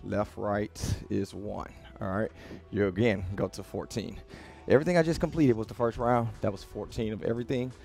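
A man in his thirties with a low voice and a gravelly edge explains exercises to camera. He is casual and direct, urging listeners on like a coach. Faint music plays behind him in a small gym. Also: steady tone, moderate pace, hardly any pauses, a little mellow. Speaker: fitness coach. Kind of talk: workout instruction to camera. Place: small home-style gym with rubber floor, indoors.

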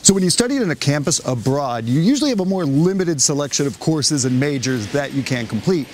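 A man's voice: a little high, a little fast, a little hiss or hum. A man in his thirties hosting a college vlog, talking animatedly to a handheld camera outdoors on a city street. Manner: animated, explanatory. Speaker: college counselor host. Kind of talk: upbeat vlog presenting.

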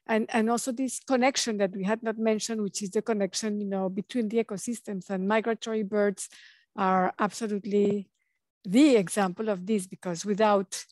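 A woman in her forties moderating an online panel over a video call, polite and hurried with many fillers. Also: mid-range voice, steady tone, a little mellow, dry recording. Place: office on a video call, indoors. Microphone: webcam mic on a video call.

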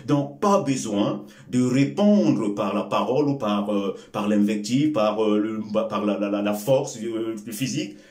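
A man in his forties whose first language is Bembe, speaking slowly and emphatically to the camera with many filler sounds and repeated words as he gives a life lesson. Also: lowish voice, lively intonation, a little mellow. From Congo. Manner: gestures with both hands while speaking. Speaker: life-lesson video host. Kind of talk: motivational talk to camera. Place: small indoor room before a curtain. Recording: echoey room.

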